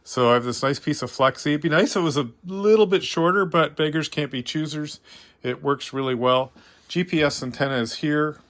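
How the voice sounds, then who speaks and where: A man's voice: a little high, fairly quick, fairly bright tone. A man with a Canadian accent giving a casual, unscripted hobbyist walkthrough in a modest, self-deprecating way. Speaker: amateur radio hobbyist giving a tour.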